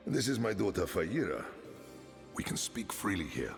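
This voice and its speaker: An actor playing a kindly older town leader who speaks in a fatherly, unhurried way. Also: on the low side, big pitch swings, creaky, big-room sound, soft background music, exaggerated voice. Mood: calm kindly. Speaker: town elder and adoptive father.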